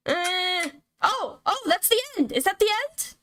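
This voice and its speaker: A woman streamer reading a horror visual novel aloud and reacting to it in an expressive, casually sweary way. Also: very high voice, measured pace, a little hiss or hum. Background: game music.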